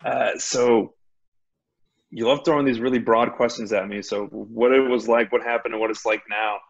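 A man in his thirties who grew up in Texas tells his story over a video call from home. His delivery is relaxed, conversational and self-deprecating, at a middling pitch. The call audio sounds a little dull. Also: normal speed, creaky, slightly rough. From Laredo, Texas. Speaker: guest, addiction counselor. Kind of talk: personal recovery story. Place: home room.

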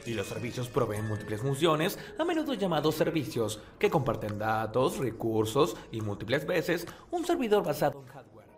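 A man playing an anxious, hapless office tech worker and dad in a cartoon dub, with an excitable manner. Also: mid-range voice, normal speed, hardly any pauses, lightly acted. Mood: cheerful, explaining. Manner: excitable, panicky, swinging into shouts. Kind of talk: cartoon fan dub acting. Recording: music behind the voice.

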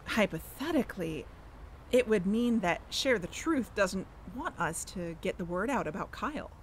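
A woman's voice: mid-range voice, lively intonation, moderate pace, slight background noise, natural voice, low-quality recording. A woman playing an earnest, suspicious amateur investigator hosting a podcast in a scripted audio drama. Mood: earnest, deliberate. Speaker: podcast host.

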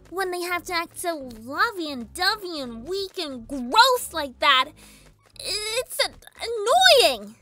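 A woman's voice: extremely high pitch, sharp tone, full character voice. A woman voicing a bratty, scheming little filly villain in a whiny, theatrical manner for a dramatized story reading. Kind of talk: dramatized fan fiction reading. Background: background music.

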